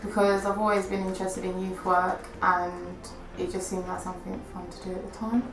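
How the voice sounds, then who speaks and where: A woman's voice: lowish voice, little pitch movement, a little fast. A woman, a youth work apprentice answering interview questions. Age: 20s. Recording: roomy, with music behind.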